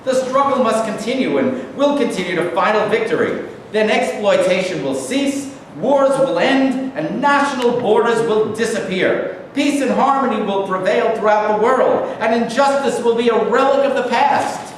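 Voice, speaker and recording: A man's voice: high voice, expressive, moderate pace, hardly any pauses. A man playing a fervent Marxist orator, declaiming solemn revolutionary slogans in a staged reading. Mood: fervent, rousing. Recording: very echoey room, distant mic, background noise.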